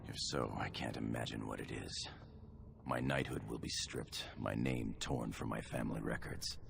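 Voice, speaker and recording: A man playing a loyal, sorrowful dwarf knight in a video game, speaking gravely and formally. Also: rumbling low, steady tone, moderate pace, background noise, full character voice. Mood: grave, urgent. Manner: earnest, formal. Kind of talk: video game cutscene acting. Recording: dramatic music under the voice.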